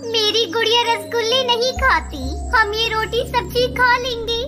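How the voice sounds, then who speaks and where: A tiny, gentle bird wife, voiced by a woman in a children's cartoon. Her voice is extremely high and girlish, with a polite, earnest and expressive manner. Music plays clearly underneath. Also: moderate pace. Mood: sad, pleading. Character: gentle, earnest little female bird, wife and young mother. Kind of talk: Hindi cartoon moral-story dialogue.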